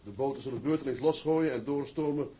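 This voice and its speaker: A man's voice: mid-range voice, mellow, natural voice. Composed, formal sea captain, played by a man. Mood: assured, official. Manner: formal, measured. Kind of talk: Dutch broadcast drama acting.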